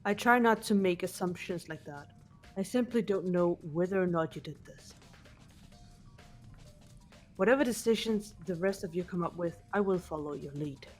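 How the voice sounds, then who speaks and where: A woman voicing a stoic, reserved student in a mystery game, speaking in a measured, formal way. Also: fairly low pitch, a little mellow, soft background music, lightly acted. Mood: calm, solemn. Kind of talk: fan voice acting of a visual novel game.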